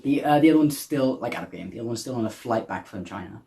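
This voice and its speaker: Guy running a tabletop game, stops and restarts a lot, middle-pitched. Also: expressive, creaky, dark tone. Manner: halting, full of fillers and restarts. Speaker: game master.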